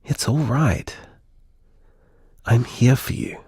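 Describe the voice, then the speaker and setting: An actor playing a patient, loving male partner with a soft, husky, soothing way of speaking, in a naturalistic audio drama. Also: medium pitch, lively intonation, slow pace, no echo, studio quality, heavily acted. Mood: tender, consoling. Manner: tender, soothing.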